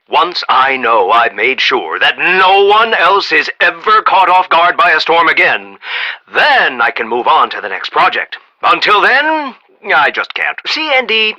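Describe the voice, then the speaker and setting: A proud, eccentric American inventor tycoon for a video game. The voice is high for a man and very sharp and forceful, swinging widely in pitch. He rambles in a folksy, emphatic, blustery way. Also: full character voice, low-quality recording. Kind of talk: animated video game voice acting.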